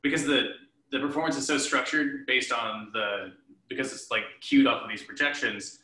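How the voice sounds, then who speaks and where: A man's voice: medium pitch, steady tone, moderate pace, pushed and bright, roomy sound, poor audio. A man in his thirties speaking casually as an interviewed guest on a video call from a room at home. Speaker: interviewed theatre director and performer. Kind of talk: casual panel discussion. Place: living room at home, indoors. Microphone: computer mic at a short distance, video call.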